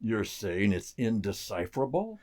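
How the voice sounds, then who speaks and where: Man playing military colonel, creaky voice, very animated pitch, clipped, dismissive, radio theater acting. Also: moderate pace. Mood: probing. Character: skeptical, brusque male military colonel. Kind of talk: stage-style radio drama acting.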